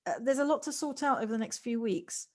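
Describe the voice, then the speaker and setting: A woman's voice: on the high side, lively intonation, fast talker, darkish tone, natural voice. A woman acting in an audio play as an anxious, polite newcomer to the countryside, speaking in hesitant, formal, wordy sentences. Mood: fond, hesitant. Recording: home recording on personal device, noisy.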